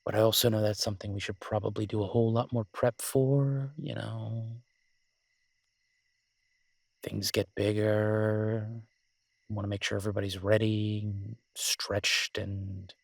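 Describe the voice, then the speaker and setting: Male actor as devoted alpha werewolf lover for romantic audio drama: low, even, intimate, teasing and protective. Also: gravelly, dry recording. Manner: tender, teasing, unhurried pauses.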